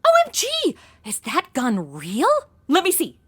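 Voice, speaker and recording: A woman's voice: high-pitched, huge pitch swings, measured pace, fairly bright tone, breathy edge, full character voice. A woman playing a flippant, ditzy modern young woman in a comedy audio drama, sarcastic and full of exclamations and slang. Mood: excited, startled.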